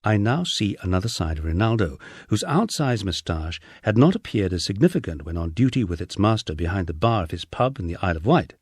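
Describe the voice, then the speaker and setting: A man narrates a humorous memoir in a low voice with very lively, swooping intonation. The delivery is scripted storytelling, recorded very dry and close. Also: fairly quick, few pauses, husky, no echo. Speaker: audiobook narrator.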